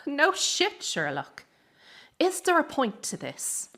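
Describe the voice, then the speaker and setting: An actress plays a wounded, defiant young circus woman in a radio play. Her voice is sharp and forceful, with lively swings in pitch, and she speaks with sarcastic, confrontational bite. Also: takes it slowly, exaggerated voice. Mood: hostile, curt. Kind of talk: dramatic radio play dialogue.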